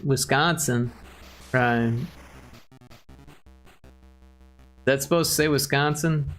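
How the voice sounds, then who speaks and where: Chicago guy joking around on his stream, chuckles a lot, bit of music in the back. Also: fairly high pitch. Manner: joking, chuckling, chatty with chat. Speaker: livestream host. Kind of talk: casual livestream chat.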